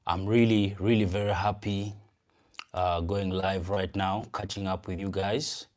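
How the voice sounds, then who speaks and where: A man's voice: low voice, level tone, measured pace. Upbeat Ugandan man hosting a livestream. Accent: Ugandan English. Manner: upbeat, greets viewers, repeats phrases. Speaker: channel host and event promoter. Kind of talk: casual livestream chat.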